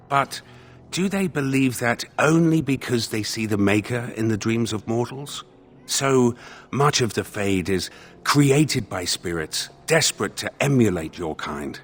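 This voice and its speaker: An actor playing a solemn spirit of justice in a dead man's body for a video game, speaking formally and with measured thought. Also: fairly low pitch.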